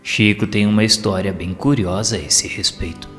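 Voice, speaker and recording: A man's voice: on the low side, steady tone, moderate pace. A Brazilian man reading spiritual anecdotes as a scripted audiobook narration. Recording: long reverb with music behind.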